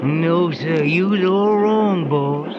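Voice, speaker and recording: An actor playing an easygoing, hungry, superstitious hobo sidekick in 1930s radio comedy style, with a drawling folksy dialect. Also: male voice, a little high, drawn-out words. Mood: insistent, curious. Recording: noisy, muffled 1930s radio transcription.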